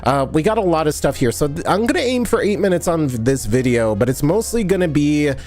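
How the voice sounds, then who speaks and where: A man's voice: fairly high pitch, animated, fairly quick, darkish tone, faint music behind. A man streaming live, still sniffly from a cold, chatting in a rambling way and answering viewers' comments as he goes. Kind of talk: casual live-stream chat while editing.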